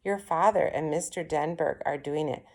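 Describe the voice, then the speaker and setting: A woman's voice: low voice, moderate pace, a little mellow. A friendly female secretary from a children's story, as a woman narrator reads her. She is helpful and explains things in simple, everyday speech.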